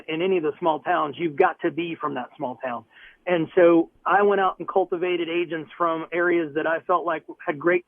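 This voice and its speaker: A man's voice: a little high, no echo. A man from Southwest Missouri, a real estate broker, answers radio interview questions in an upbeat, earnest and plainspoken way over the phone. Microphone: telephone. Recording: tinny phone line.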